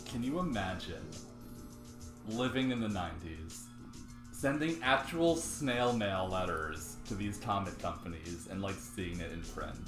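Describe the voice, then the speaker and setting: A man co-hosting a casual podcast, reading a comic's letters page aloud with playful, animated delivery. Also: low-pitched, a little slow, roomy sound, music in the background. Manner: animated, laughing, reads letters aloud with mock drama. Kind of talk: casual chat and table reading.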